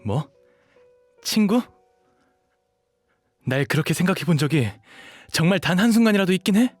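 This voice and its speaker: A man's voice: sits high, animated, moderate pace, no echo, soft background music. A young man playing an earnest, protective dog shifter in a romantic audio drama. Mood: hurt, defiant. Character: gentle, devoted young dog shifter, wounded but protective.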